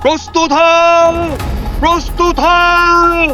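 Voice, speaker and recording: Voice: extremely high pitch, monotone, takes it slowly, exaggerated voice. An actor playing an alert, anxious prehistoric hunter in a Bengali audio drama, urgently repeating warnings. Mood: alarmed. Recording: loud dramatic music under voice.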